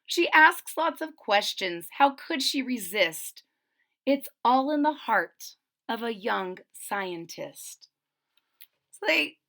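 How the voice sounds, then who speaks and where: A woman reading a rhyming children's picture book aloud, with chatty asides to her listeners. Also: a little high. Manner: expressive, chatty asides to listeners between rhymes. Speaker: read-aloud storyteller.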